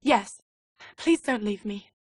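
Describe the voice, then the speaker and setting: A woman's voice: mid-range voice, expressive, slow, slightly rough, dry recording, studio quality. A woman playing a glamorous, flirtatious, plucky companion to a spy in a video game. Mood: anxious. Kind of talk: cinematic video game acting.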